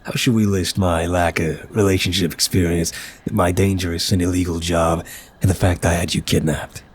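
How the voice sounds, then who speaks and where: A man's voice: low-pitched, level tone, normal speed, slight background noise, close to an everyday voice. A man playing an apologetic, nervous but charming mafia boss in an ASMR roleplay, chatty, wry and flirtatious. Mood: self-doubting, dry.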